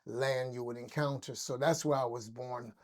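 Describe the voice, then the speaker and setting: A man's voice: mid-range voice, steady tone, moderate pace. An older man from Barbados, an interview guest telling stories in a loose, rambling way with many fillers. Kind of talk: casual interview storytelling.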